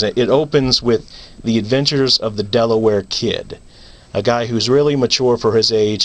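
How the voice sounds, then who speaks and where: Low-pitched male radio guest. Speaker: playwright, interview guest. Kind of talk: casual radio interview.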